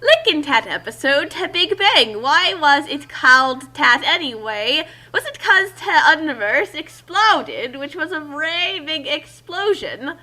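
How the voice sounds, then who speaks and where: A woman with a high, very bright voice chips in with casual commentary. She jokes and interjects with some sarcasm. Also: very animated, unhurried, hardly any pauses.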